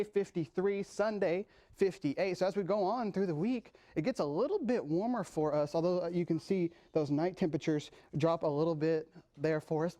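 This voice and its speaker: A man's voice: sits high, a little mellow. A young man working as a student TV weather anchor and reporter, presenting in a chatty, eager way and often repeating his phrases. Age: young adult. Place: TV studio and news reports.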